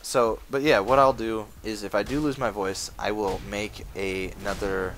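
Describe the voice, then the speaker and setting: A man talking casually over his gameplay footage, rambling with lots of fillers, his voice going hoarse. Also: on the low side, steady tone, moderate pace, fairly bright tone, a little hiss or hum. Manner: rambling, full of uh fillers, chatty. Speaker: gaming video maker. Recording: game music playing underneath. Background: game music.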